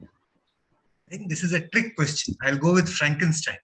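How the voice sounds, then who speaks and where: A man's voice: a little high, a little slow, a bit bright, low-quality recording. A man competing in a quiz, answering casually and thinking aloud. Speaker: quiz contestant.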